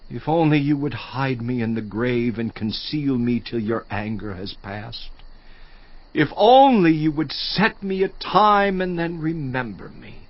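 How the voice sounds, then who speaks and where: A man voicing a slow, mournful sufferer. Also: mid-range voice. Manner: slow, grave, mournful recitation. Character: suffering man lamenting his brief life. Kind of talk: dramatized scripture reading. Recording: dry, slightly dull studio audio.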